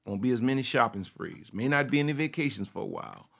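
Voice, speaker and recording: A man's voice: mid-range voice, expressive, fast talker, creaky, a bit bright. A man preaching a sermon. He repeats his phrases for emphasis, asks rhetorical questions and at times speaks as if he were a confident woman. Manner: speaks in the first person of a confident woman to make his point. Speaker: pastor.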